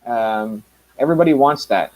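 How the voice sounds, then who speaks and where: A man in his mid-thirties talks loosely on his own live stream, wandering between thoughts with plenty of uhs and restarts. His voice sits at an ordinary middle pitch for a man. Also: normal speed, darkish tone, low-quality recording. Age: 30s. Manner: rambling, many fillers and restarts. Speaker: channel host. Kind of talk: casual live stream chat.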